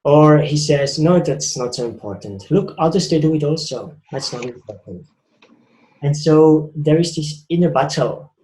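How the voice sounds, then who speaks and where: A man's voice: a little high, expressive, moderate pace, mellow, reverberant, slight background noise, low-quality recording. A man with a Swiss accent giving a reflective spiritual talk, earnest and repeating phrases for emphasis. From Switzerland. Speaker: spiritual speaker.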